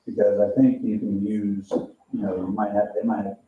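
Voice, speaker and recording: A man's voice: lowish voice, flat delivery, moderate pace. A man giving an informal technical explanation at a board meeting. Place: echoey meeting room, picked up from afar. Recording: roomy, distant, muffled meeting audio.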